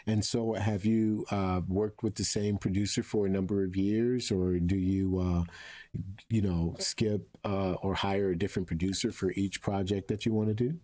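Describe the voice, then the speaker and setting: Deep, mellow-voiced male interviewer. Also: steady tone, normal speed. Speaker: interviewer, host. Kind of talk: conversational interview.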